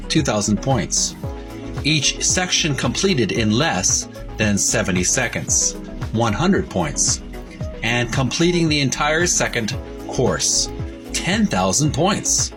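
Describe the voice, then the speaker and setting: A man presenting a book by reading its printed text aloud with commentary, in a halting, deliberate, itemizing way with pauses. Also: medium pitch. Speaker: presenter of a retro video game book.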